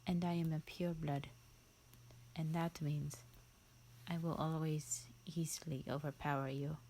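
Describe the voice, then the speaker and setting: A haughty vampire thousands of years old, played by a woman, speaks in a low, breathy half-whisper. She drawls very slowly, bored and condescending, as in a theatrical roleplay audio. There is faint noise in the recording. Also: warm tone, poor audio.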